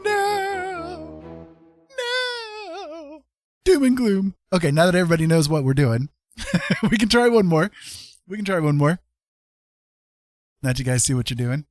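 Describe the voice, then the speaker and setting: Male livestream host, casual and jokey, highly animated delivery, cheering players on, dry sound. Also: unhurried, pauses often, no room sound, soft background music. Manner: encouraging, jokey. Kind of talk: casual livestream game commentary.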